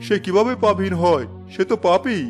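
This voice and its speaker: A man's voice: high-pitched, a little fast, natural voice. A man playing a formal, stately king in a cartoon fairy tale. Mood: commanding. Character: anxious, commanding, remorseful fairy tale king. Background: background music.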